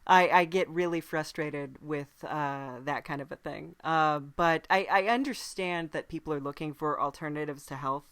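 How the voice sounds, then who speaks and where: A woman's voice: deep voice, moderate pace, few pauses, a bit bright, smooth, no room sound. A woman playing a mild-mannered, friendly demon who hosts a comic podcast, speaking politely and hesitantly. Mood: droll, polite. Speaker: podcast host. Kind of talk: improvised comic interview in character.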